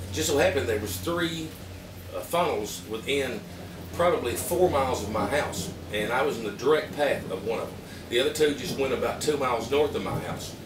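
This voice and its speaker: A man with an Arkansas Southern accent giving a casual, plain-spoken, halting product review to camera. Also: medium pitch. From Arkansas, United States. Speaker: vlogger reviewing emergency gear. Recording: roomy, distant mic with background noise.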